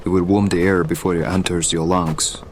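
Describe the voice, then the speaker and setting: An actor playing a pragmatic, seasoned survivalist hireling in an audio drama, matter-of-fact and instructive. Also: male voice, deep voice, level tone, normal speed, slight background noise, close to an everyday voice. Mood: instructive, unruffled.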